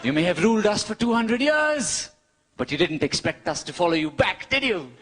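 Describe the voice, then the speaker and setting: A man from India with an Indian accent performing a cheeky, wry stand-up routine, pausing for his punchlines. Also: high voice, sing-song, a bit bright. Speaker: stand-up comedian. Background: audience laughter.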